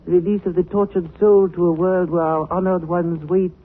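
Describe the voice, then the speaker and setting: An actor playing a suave, menacing smuggler in a 1940s radio drama, speaking with formal, ornate politeness. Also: male voice, sits high, level tone, normal speed. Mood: courtly, smooth. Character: suave, menacing male smuggler of narcotics with courtly speech. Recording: tinny, band-limited 1940s radio recording.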